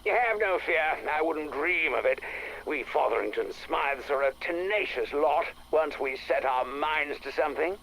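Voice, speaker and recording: An actor playing a jaunty, pompous gentleman adventurer with an upper-class English accent, eager and boastful, in a game audio log. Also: fairly high pitch, expressive, moderate pace, creaky, slight background noise, poor audio. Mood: jovial, eager.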